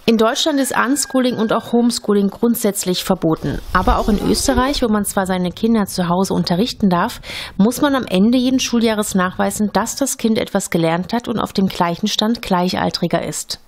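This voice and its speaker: A woman reading scripted documentary narration in a clear, even delivery. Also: mid-range voice, normal speed.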